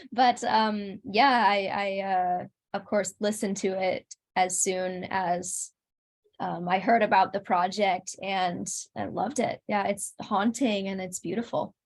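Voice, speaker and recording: A woman's voice: mid-range voice, steady tone, takes it slowly. A woman talking casually as an interview guest, in a conversational style. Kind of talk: casual chat.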